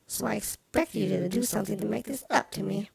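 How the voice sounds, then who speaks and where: A woman's voice: mid-range voice, a little fast, vocal fry. A woman playing a jealous, melodramatic porcelain doll in a game, speaking in a prim, pouty, formal way. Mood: wounded, cross. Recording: loud music behind the voice. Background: music.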